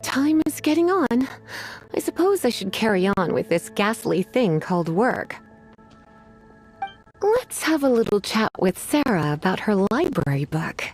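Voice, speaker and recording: Sultry teasing librarian lady for a video game, drawly, high lilting voice, music underneath. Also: big pitch swings, measured pace, a little mellow. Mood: languid, polite. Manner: sultry, drawling, sighing delivery.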